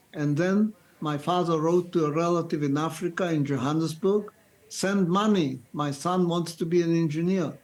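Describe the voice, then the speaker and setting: Slow-speaking elderly man. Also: a little high, a little mellow, rough recording. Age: 90s. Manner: slow, deliberate storytelling with restarts. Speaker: Holocaust survivor guest. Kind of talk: interview, personal testimony.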